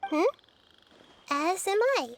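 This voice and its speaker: A woman voicing a calm, wise, childlike girl deity in a video game, composed and measured. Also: high-pitched, gravelly, slight background noise, exaggerated voice. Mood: calm, assured. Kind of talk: video game character dialogue.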